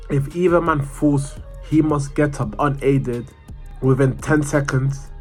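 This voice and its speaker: Male explainer-video narrator, mid-pitched, reading from a script with the odd stumble, music underneath. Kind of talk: scripted narration, read aloud. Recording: music behind the voice. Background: background music.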